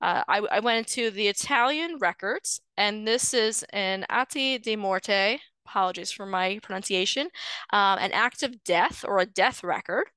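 A woman genealogist walks listeners through an online lecture in a friendly, explanatory way, often pausing on an um or uh. Her voice is bright and clean. The sound is dry and close to the mic. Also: mid-range voice, clear, resonant tone, no echo. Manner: explanatory, friendly, with frequent um and uh fillers. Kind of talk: screen-share webinar lecture. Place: indoors, voice over shared slides.

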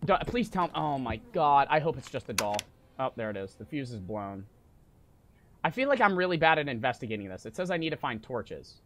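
A young man streaming a horror game, panicking, swearing and yelling in fright while reading notes aloud. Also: mid-range voice, very animated, brisk, a little hiss or hum. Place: small room at home. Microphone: desk mic on stand.

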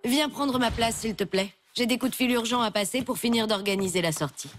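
A woman's voice: fairly high pitch, fairly quick, natural voice. A woman voicing a firm, patient primary school teacher in a French children's cartoon. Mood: brisk. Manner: instructive, firm. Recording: loud music behind the voice.

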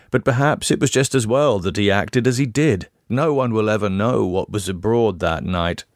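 Low-pitched man narrating horror, dry recording. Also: no room sound. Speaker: audiobook narrator. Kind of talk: scripted narration of a horror tale.

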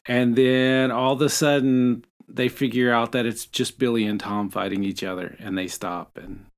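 A man co-hosting a podcast, discussing a book casually and somewhat haltingly as he searches for words. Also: mid-range voice, steady tone. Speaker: podcast co-host.

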